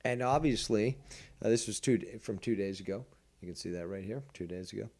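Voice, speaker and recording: A man's voice: lowish voice, brisk, darkish tone. Combative, profane male streamer. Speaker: streamer host. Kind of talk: livestream commentary.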